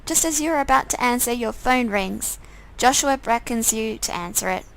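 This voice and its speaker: A woman streamer narrates a visual novel aloud. Her voice is fairly high with a noticeable creak, and she reads the story in the second person. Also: expressive, normal speed, low-quality recording.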